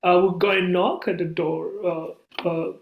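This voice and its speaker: A man's voice: high voice, flat delivery, unhurried, creaky, lo-fi sound, a little hiss or hum. A man from Burundi, a guest on an interview podcast, telling his story in an earnest, storytelling way. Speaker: guest, nonprofit founder. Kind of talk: casual interview talk. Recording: roomy echo.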